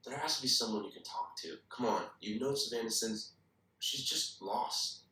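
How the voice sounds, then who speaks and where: A man's voice: fairly low pitch, lively intonation, normal speed, bright, forceful tone. A man playing a serious, wry young policeman at a drama table read. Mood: pleading. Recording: very echoey, distant call audio.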